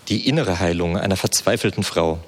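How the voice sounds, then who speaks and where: Low-voiced male interpreter in a hall. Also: fairly quick. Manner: fluent, with frequent hesitation fillers. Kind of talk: consecutive interpreting of a sermon. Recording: reverberant hall.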